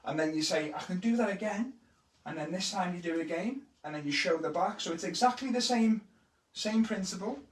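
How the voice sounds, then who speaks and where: Scouse kids' entertainer, fairly high voice, chatty live tutorial, echoey room, mic set back. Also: male voice, fairly quick. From Liverpool, England. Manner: speeds up when excited, chatty and encouraging. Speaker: kids' entertainer teaching magic tricks. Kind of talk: casual live-stream tutorial. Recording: roomy echo, mic at a distance.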